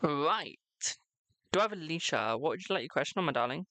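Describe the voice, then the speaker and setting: A young man with a naturally high voice, reading tarot cards live and chatting cheekily with viewers, calling them "my darling". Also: expressive, quick pace, pushed and bright, no room sound. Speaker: tarot reader on a livestream.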